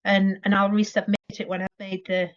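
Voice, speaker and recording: A woman talks on a coaching call, hesitant and full of "um". Her voice comes through dull and lo-fi. She sits at a middling pitch for a woman. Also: flat delivery, fairly quick. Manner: hesitant, short replies with fillers. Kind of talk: casual chat. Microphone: video call. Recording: dull, lo-fi video call audio.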